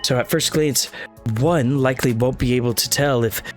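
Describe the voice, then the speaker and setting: Guy reading a helpful ghost boy in a game, earnest, explains stuff kinda haltingly, music under it. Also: medium pitch, fairly even intonation, lightly acted. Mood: earnest, explanatory. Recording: game music playing behind the voice.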